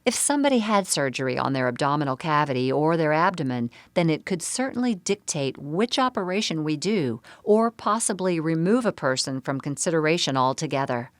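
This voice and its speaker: Female narrator for a medical presentation: low register, measured and explanatory, close dry recording. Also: very animated, no echo. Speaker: seminar narrator.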